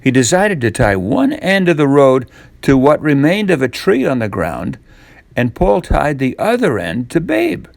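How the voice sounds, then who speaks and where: An older man reading a storybook tale for children in a deliberate way, with dramatic pauses. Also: medium pitch, huge pitch swings, vocal fry, no room sound.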